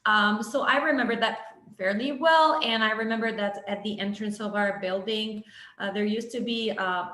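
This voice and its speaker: A woman's voice: mid-range voice, normal speed. Lebanese-accented woman in an echoey room. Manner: warm, reflective storytelling with small laughs. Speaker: guest, minister in training. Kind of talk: casual webinar conversation. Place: home, video call. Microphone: video call mic. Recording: echoey room over a video call.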